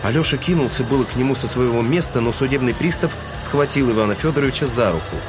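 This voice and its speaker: A man's voice: medium pitch, fast, natural voice. A man narrating a literary audio drama in a scripted, storytelling style. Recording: music under the voice.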